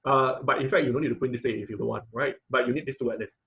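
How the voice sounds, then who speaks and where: A man with an average-pitched voice teaches a live technical class online. His delivery is informal and halting, with repeated words and frequent little check-ins as he walks through each step. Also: steady tone, vocal fry, fairly bright tone. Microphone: online video call.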